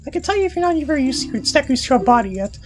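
A woman's voice: sits high, very animated, very fast, faint music behind, pitched above the performer's own voice. A woman voicing a bossy, impatient little girl fairy from a video game, pushy and whiny. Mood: bossy, instructing. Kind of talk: dubbing video game dialogue.

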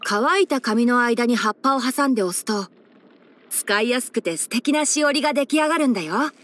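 A woman voicing a boyish young male fox-eared forest ranger and scholar in an anime game, instructive and frank with a gently teasing manner. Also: fairly high pitch, animated, brisk. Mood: helpful, composed. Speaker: character voice actor. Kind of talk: anime game character voice lines.

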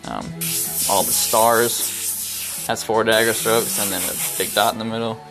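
A young man with a very low voice talks through airbrush technique as he paints, relaxed and unscripted, with ums between thoughts. Music plays clearly behind him along with the hiss of the airbrush, picked up by a head-mounted camera mic in a small studio. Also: measured pace, poor audio. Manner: relaxed explaining, frequent ums, talks while working. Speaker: airbrush artist. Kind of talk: casual live-stream tutorial while painting. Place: small brick-walled studio, indoors. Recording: music playing under the voice. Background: music playing, airbrush hiss.

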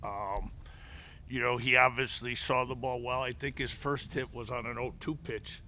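A man's voice: mid-range voice, fairly even intonation, normal speed, pushed and bright, a little hiss or hum. A middle-aged man, a head baseball coach, answering press conference questions at a table mic, often restarting and repeating words. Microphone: table mic in front of him.

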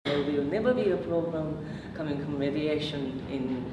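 A low-pitched woman gives a lecture in a meeting room, pausing often on fillers and restarting her sentences. She is picked up from far off, with a roomy echo and steady background noise. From Japan. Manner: hesitant, many fillers and restarts. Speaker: PhD researcher giving a talk. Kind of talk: public lecture with slides. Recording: echoey room from far off, noisy.

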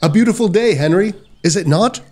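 An actor playing a kindly, sensible brother-in-law in a Victorian audio drama, theatrical and reassuring. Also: male voice, high voice, lively intonation, fairly quick, dark tone. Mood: cheerful.

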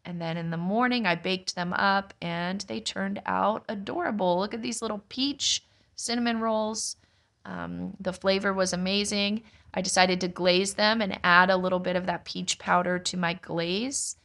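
A young woman, a mother, casually narrating a home cooking vlog in a relaxed, explanatory way. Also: mid-range voice, moderate pace, gravelly. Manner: relaxed, explanatory, chatty with light laughs. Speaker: homestead vlogger, mother.

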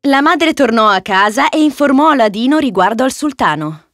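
A woman narrates a children's fairy tale as storyteller. Her voice is fairly high, reading from a script with clear music playing behind. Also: animated, moderate pace, fairly bright tone, dry recording.

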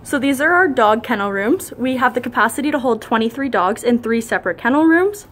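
A woman's voice: on the high side, expressive, brisk, a little hiss or hum. A young woman student walking through a veterinary clinic, explaining each room in a plain, matter-of-fact way. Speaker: student tour guide. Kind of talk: guided facility tour. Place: veterinary teaching clinic rooms.

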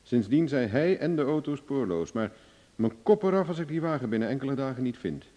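A male actor plays a self-assured private investigator in an old radio drama. His voice is mellow and middle-pitched, with animated, wry phrasing. The recording carries a long reverberant tail. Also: a little fast, natural voice. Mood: cool, bargaining. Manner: glib, ironic, self-assured. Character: cocky, cool-headed male private investigator, wry and bargaining. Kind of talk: Dutch radio drama acting.

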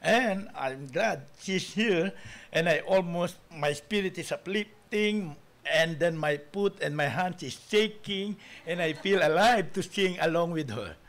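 A man's voice: sits high, lively intonation, measured pace, few pauses, fairly bright tone. A middle-aged man, preaching informally as a guest pastor in a rambling, anecdotal way. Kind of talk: informal sermon opening with anecdotes. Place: small church hall, at pulpit. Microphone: pulpit microphone.

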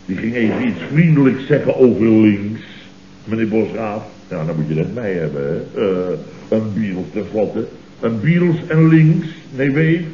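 An actor playing a panicky, self-important boss in a Dutch radio comedy, stammering and repeating words emphatically, with a Netherlands accent. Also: male voice, lowish voice, lively intonation, unhurried. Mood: pompous, indignant. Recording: dull, noisy, roomy old radio recording.

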